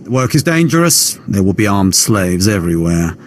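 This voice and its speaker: A man's voice: on the low side, very animated, normal speed, a little hiss or hum. A man playing a cold, sadistic Sith lord, smug and menacing, in a video game cutscene. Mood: gloating cruelty.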